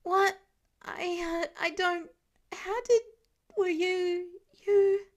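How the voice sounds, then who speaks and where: A woman's voice: very high voice, steady tone, extremely slow, voice pushed up, heavily acted. A woman voicing a frightened, defensive teenage boy who stammers and snaps with bitter sarcasm. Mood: panicked. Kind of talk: audiobook fan reading.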